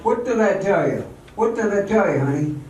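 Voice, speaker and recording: A man's voice: high-pitched, animated, brisk, a little mellow, faint music behind. A man playing a confident, pushy, doting show-business sweetheart in an amateur radio drama, recorded echoey and distant. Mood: boastful. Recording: echoey, distant mic, faint noise.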